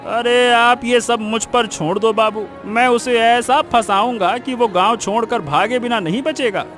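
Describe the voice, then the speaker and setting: A man's voice: very high voice, a little slow, a bit bright, soft background music. A man playing a scheming, spiteful village cheat in a cartoon, argumentative, blustering and insistent. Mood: scheming, persuasive. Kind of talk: Hindi cartoon moral story acting.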